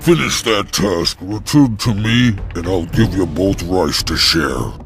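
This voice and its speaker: An actor playing a greedy, sneering slave-mine taskmaster in a video game, domineering and contemptuous. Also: male voice, low-pitched, soft background music. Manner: domineering, contemptuous, drawn-out delivery. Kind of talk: dramatic video game cutscene acting.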